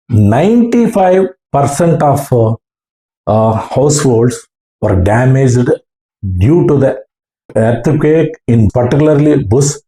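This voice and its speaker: A man with an Indian accent tells of his own experience at an unhurried, halting pace. His voice is soft-edged and mellow, and he builds each sentence in short, deliberate pieces. The recording has an echoing, roomy sound. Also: fairly high pitch. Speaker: ham radio operator. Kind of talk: recounting a personal experience.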